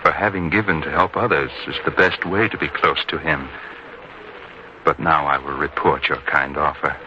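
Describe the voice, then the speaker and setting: A man playing a kindly, reverent disciple, earnest and deliberate, in an old-time radio drama. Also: very deep voice, steady tone. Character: kindly, reverent male disciple, gently authoritative. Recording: dull lo-fi radio sound, faint noise.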